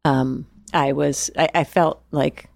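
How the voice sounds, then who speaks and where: A woman chef talks through her story in a relaxed, meandering way, often restarting her sentences and adding fillers. Her voice sits low, and the sound is dry, with no room around it. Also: slow pace, gravelly, no room sound. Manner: rambling, restarts, many "like"s. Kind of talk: casual interview answers.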